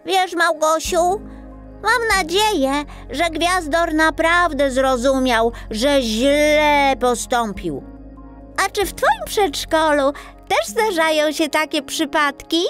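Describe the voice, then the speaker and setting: Sweet little star played by a woman, storybook teller, slow pace, very animated. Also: sits high, full character voice. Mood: gentle. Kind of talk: children's audiobook storytelling. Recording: music behind the voice.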